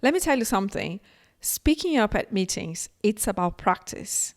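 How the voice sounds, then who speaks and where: A woman's voice: medium pitch, expressive, normal speed. A middle-aged woman from Brazil with a Brazilian accent, delivering an earnest, encouraging conference keynote and speaking directly to her audience. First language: Portuguese.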